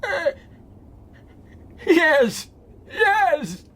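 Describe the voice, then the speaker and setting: Quavering, high-pitched frail old man. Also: very animated, bright, forceful tone, full character voice. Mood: anxious. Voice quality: quavering, tearful. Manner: stammering, halting. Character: frail, frightened, lonely old man, distrustful, breaking down. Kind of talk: radio drama acting.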